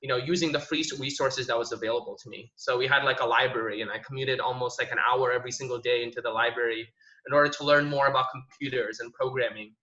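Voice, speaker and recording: A young man from Indonesia on an online panel, telling his personal story in a relaxed, conversational way full of "you know" and "um". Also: medium pitch, fast. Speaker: panel speaker, tech professional. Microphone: video call. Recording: echoey room, dull lo-fi audio.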